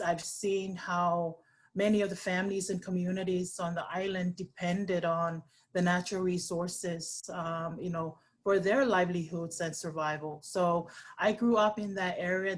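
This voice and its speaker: Soft-pitched woman, earnest guest. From Samoa. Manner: earnest, many "um"s and restarts. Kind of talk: webinar interview. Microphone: video call.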